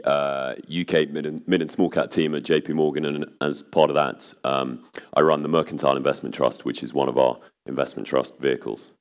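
A man in his thirties with an exceptionally low voice, still recovering from laryngitis, presents at an investor seminar. He talks in a measured way, with many ums and restarts. He is picked up by a lapel mic in a large conference hall. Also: clear, resonant tone. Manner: measured, with frequent fillers and restarts.

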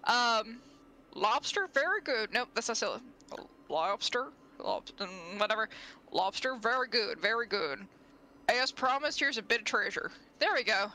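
A woman's voice: a little high, unhurried, a little hiss or hum, rough recording. A woman with a slight lisp on her S sounds, chatting casually and reacting playfully while she plays a game. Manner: animated, playful, shouts at the game. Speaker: vtuber playing a game. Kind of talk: casual chat while gaming.

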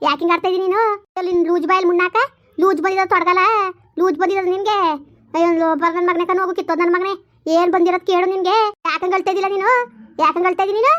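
A woman doing a shrill, foul-mouthed village elder sister. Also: fairly even intonation, rapid-fire, a bit bright, lo-fi sound. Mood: scolding. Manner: berating, abusive, hectoring. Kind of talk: village family drama skit.

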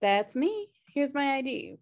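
A flippant American slacker sister, played by a woman in a comedy table read. The voice is on the high side with plenty of fry, casual and dismissive. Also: very animated, measured pace, exaggerated voice. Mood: breezy. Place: indoor room, video call.

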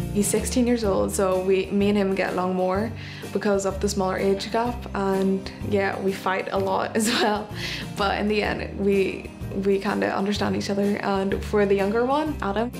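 Chatty teenage girl with guitar music. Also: mid-range voice. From Malaysia, raised in Northern Ireland. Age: teens. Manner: chatty, relaxed, uses fillers like "you know". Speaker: interviewee, daughter. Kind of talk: documentary interview. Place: home living room.

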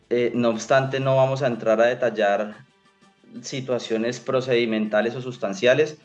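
A young man from Colombia, a lawyer, speaking in a formal, measured, careful way. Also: medium pitch, lo-fi sound. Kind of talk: formal legal statement on a call-in interview. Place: small indoor room.

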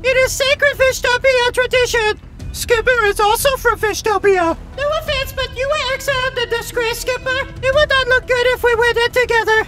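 A woman's voice: extremely high pitch, fairly even intonation, moderate pace, poor audio. A woman voicing a naive, earnest young male fish prince who talks about himself in the third person. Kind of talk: fan-made animated short film.

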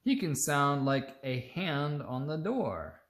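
Woman, picture-book read-aloud, slow pace, playful and expressive, echoey home recording. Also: sits low. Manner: playful, expressive, acts out sound words. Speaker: storytime reader. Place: indoors, seated close among children.

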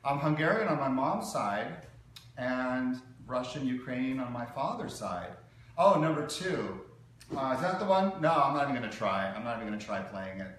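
A man's voice: medium pitch, lively intonation, normal speed, fairly bright tone. Male livestream host, 40s, jovial and chuckly, casual chat, heavy room echo. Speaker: host of a live piano request show. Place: living room beside a grand piano. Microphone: phone or camera a few feet away. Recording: very echoey room, faint background noise.

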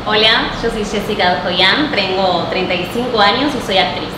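A woman in her thirties, an actress, introducing herself at a casting in a measured, deliberate way. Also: mid-range voice, recorded from a distance. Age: 30s. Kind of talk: self-introduction. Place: large bare photo studio. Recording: echoey studio, hum.

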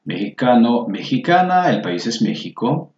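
A man's voice: mid-range voice, big pitch swings, a little slow, darkish tone. A man teaching a Spanish lesson over a screen recording, patiently spelling out each word form aloud. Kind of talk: slow screen-share lesson walkthrough. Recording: roomy, dull lo-fi recording.

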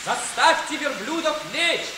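An actor playing an alert, gently amused captain in an old radio play, with declamatory delivery. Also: male voice, extremely high pitch, animated, unhurried, background noise. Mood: commanding. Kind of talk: mid-century Soviet radio play acting.

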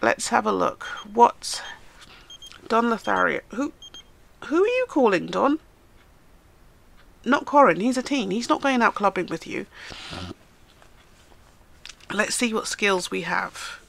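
Bright-voiced, stuffed-up woman gaming host. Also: medium pitch, big pitch swings, takes it slowly, gravelly, long pauses. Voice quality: nasal. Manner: chatty, talks to the game characters. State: stuffed up from a cold. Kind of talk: casual let's-play commentary.

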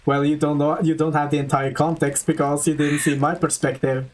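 A man's voice: a little high, level tone, a little fast, a little mellow, slight background noise. A man with a Norwegian accent chatting casually while streaming a game, laughing often and rambling through explanations. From Norway. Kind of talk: casual gaming chat. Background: game music.

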